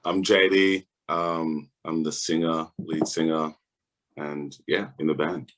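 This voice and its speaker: A young man from Austria, a band's lead singer, chatting in an interview in a relaxed, laid-back way. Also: rumbling low, slow pace. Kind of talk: casual interview chat.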